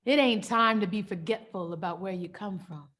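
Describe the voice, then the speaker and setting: A woman playing an anguished, fiercely loving enslaved mother in a dramatic stage monologue, speaking emphatically with heavy pauses. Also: mid-range voice, steady tone, a little mellow, natural voice. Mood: stern, impassioned. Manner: slow, emphatic, repetitive delivery with dramatic pauses.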